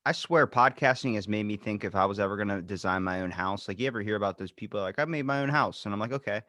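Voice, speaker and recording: A man's voice: fairly low pitch, fast talker, pushed and bright, no echo, studio quality. A man hosting a casual podcast chat, loose and profane, telling stories with quoted back-and-forth. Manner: loose, swearing, tells stories with quoted dialogue. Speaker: podcast host.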